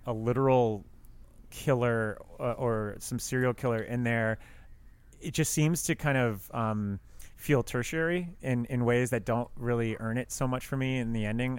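A man co-hosting a casual movie discussion, talking in a halting, hedging, discursive way. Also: medium pitch, smooth. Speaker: podcast co-host.

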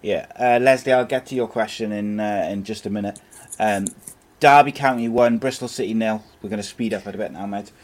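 A man's voice: fairly low pitch, fairly quick. Laid-back man hosting football chat. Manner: laid-back, chatty, calls co-host mate. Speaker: show host. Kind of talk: casual football chat show.

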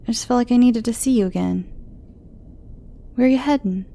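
A woman voicing a shy, clingy teenage girl who stammers and speaks in breathy half-whispers. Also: on the high side, fairly quick, dark tone, voice pushed up. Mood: eager, worried. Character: timid sweet teenage girl, eager and clingy.

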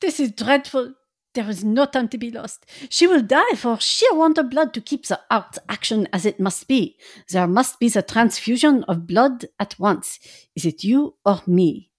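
A woman plays an older male professor with a put-on French accent. He speaks in stilted, grave phrases with dramatic pauses, kindly but urgent, and his pitch moves widely. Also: animated, breathy edge. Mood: alarmed, urgent. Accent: mock French.

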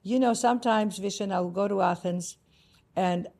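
A woman from Greece with a Greek accent, a spiritual author speaking in a warm, effusive and emphatic way as a podcast guest. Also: medium pitch, normal speed. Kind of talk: heartfelt interview talk. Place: Cartagena, Colombia.

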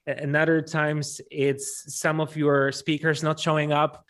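A man's voice: a little high, flat delivery, a little slow, fairly bright tone. A man with a Polish accent answering interview questions conversationally, often repeating words as he works out his explanation. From Poland. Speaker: event MC, interview guest.

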